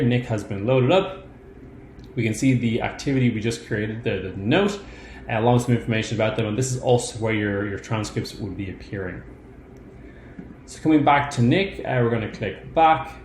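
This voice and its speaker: A man narrating a step-by-step software demo in an informal, explanatory way. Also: mid-range voice. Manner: explanatory, informal instructional delivery. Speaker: software tutorial presenter. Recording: roomy echo, clear background noise.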